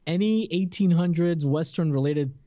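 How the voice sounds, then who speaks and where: A man's voice: fairly high pitch, normal speed, dark tone, lo-fi sound. A man co-hosting a podcast, chatting casually with a teasing, bantering manner. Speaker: podcast co-host.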